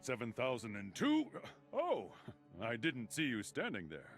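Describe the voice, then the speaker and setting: A man voicing an earnest, awkward heroic soldier in a video game, theatrical, with a husky voice. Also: mid-range voice, huge pitch swings, measured pace, fairly bright tone, faint music behind, exaggerated voice. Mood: awkward pretence.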